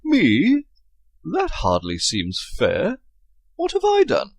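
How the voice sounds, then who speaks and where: A man performs a droll, nosy British colleague from an audio comedy. The voice is fairly high and slightly husky, swinging widely in pitch with dry, absurd wit. It is recorded with no room echo. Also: huge pitch swings, slow pace, full character voice. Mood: droll, curious. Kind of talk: comedic audio drama acting.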